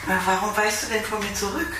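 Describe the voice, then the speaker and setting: A man's voice: sits high, fairly even intonation, fast talker, lightly acted. An actor in a radio drama playing a sceptical, reproachful male companion who asks pointed questions. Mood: accusing. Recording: echoey, distant, noisy background.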